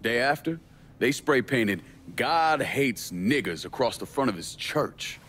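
An actor playing a stern young crime boss in a game, firm and profane. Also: male voice, medium pitch, lively intonation, measured pace, a bit bright, a little hiss or hum. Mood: grim, matter-of-fact.

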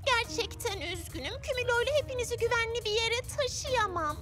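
Very high little cartoon girl, earnest and caring. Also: lively intonation, over music. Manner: earnest, caring, quick-thinking. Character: cheerful brave little girl hero. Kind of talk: dubbed cartoon acting.

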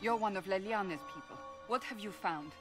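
A woman's voice: medium pitch, fairly quick, over music, close to an everyday voice. Fantasy game warrior for an actress, serious and clipped, medium register, resolute woman. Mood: firm.

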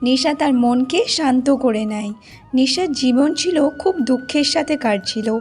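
A woman's voice: high voice, lively intonation, normal speed. A woman narrating a scripted fairy tale as a storyteller. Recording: music behind the voice.

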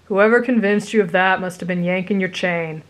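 A woman voicing a tired, grumpy adult male teacher who talks in a flat, weary mumble. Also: lowish voice, normal speed, natural voice.

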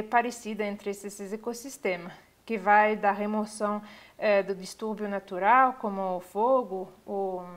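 A woman, giving an online university lecture over slides, pausing often with fillers as she searches for words. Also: mid-range voice. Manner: hesitant, many filler pauses. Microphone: webcam on a video call.

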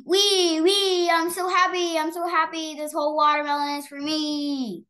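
An excitable, greedy little girl from a picture book, acted out by a woman reader. The voice jumps up high, exclaiming and insisting over and over. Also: moderate pace. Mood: gleeful, greedy. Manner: exclaiming, repeating herself insistently.

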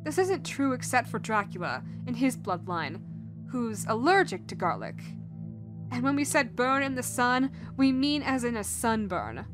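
A woman's voice: fairly high pitch, very animated, moderate pace, music in the background. A woman voicing a caring, teasing, motherly vampire woman in a soothing roleplay audio, coaxing and speaking directly to the listener. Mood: teasing, explaining. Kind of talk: roleplay audio acting, ASMR-like.

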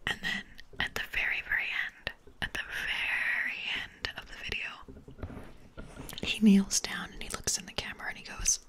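A woman streaming ASMR in a soft, playful whisper, giggling now and then, close to the mic. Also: moderate pace, poor audio.

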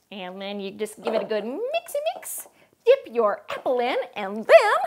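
A woman playing a perky sing-song cooking host. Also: high voice. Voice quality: bubbly sing-song delivery with sudden hushed asides. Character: chirpy female cooking-show host Karen, perky and wacky. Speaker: comedic cooking show host. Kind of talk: parody cooking show, scripted comedy. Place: home kitchen, indoors.

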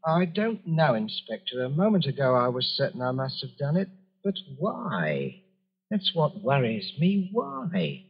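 A man's voice: mid-range voice, huge pitch swings, unhurried, breathy edge, heavily acted. An actor playing a meek, troubled travelling salesman accused of murder in an old radio drama, hesitant, polite and brooding. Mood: troubled, bewildered. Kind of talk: 1940s radio drama acting. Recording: muffled old radio recording.

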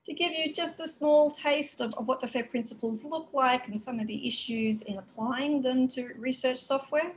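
A woman giving a conference keynote over a video call, explaining in a measured way with frequent fillers. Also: high voice, a little hiss or hum, poor audio. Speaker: keynote speaker. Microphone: video call webcam.

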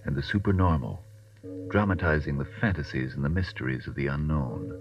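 Extremely deep, grave radio announcer. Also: male voice. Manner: grave, solemn, measured. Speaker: programme announcer. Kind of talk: 1940s radio scripted announcement. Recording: muffled, distant old radio recording. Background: music.

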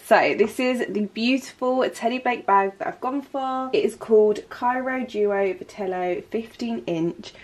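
A bubbly young woman with a slightly high voice talks through her home vlog, her nose stuffed up. She chats casually to a handheld camera in her living room. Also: a little slow, few pauses, a little mellow. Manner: chatty and enthusiastic, many fillers like um and like. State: stuffy nose from hay fever. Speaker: lifestyle vlogger.

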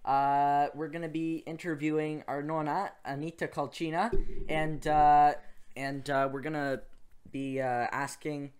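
A man's voice: on the high side, level tone, measured pace, darkish tone, smooth. A man hosting a podcast interview with his grandmother, asking questions in a hesitant way with many fillers. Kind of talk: casual interview.

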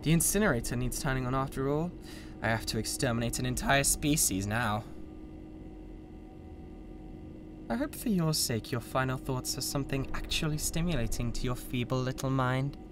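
A man's voice: mid-range voice, expressive, brisk, few pauses, music in the background, lightly acted. A British man playing a grandiose, volatile mad scientist who plays god, theatrical and contemptuous, in a scripted ASMR audio roleplay. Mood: weary, cold.

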